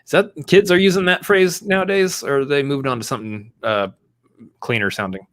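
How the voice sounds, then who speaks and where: A man's voice: fairly high pitch, a little fast, a bit bright. A man hosting a casual live stream, joking around and rambling through plugs. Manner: jokey, rambling, upbeat plugging. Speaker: podcast host.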